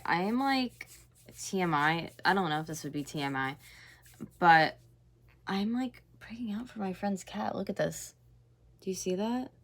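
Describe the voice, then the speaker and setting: A woman in her twenties hosting a casual reaction vlog, chatty and gossipy, full of "like". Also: medium pitch. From North America. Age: 20s. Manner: chatty and gossipy, heavy use of "like". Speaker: YouTube host reacting to clips.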